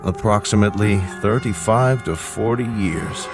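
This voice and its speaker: An actor playing a measured, grave older scientist in a radio drama, speaking precisely and formally with a fatherly authority. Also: on the low side, over music, close to an everyday voice. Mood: solemn, explanatory. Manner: precise, deliberate, formal, explanatory. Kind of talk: radio drama acting, deliberate dialogue.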